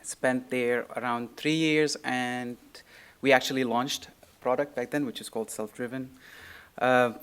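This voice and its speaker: A man with a Syrian Arabic accent speaks at a medium pitch as he co-presents a workshop. His delivery is informal and light-hearted, like a techie addressing a room. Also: fairly even intonation, a little slow, fairly bright tone, clean tone. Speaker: workshop co-presenter, software engineer. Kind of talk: informal technical talk to an audience.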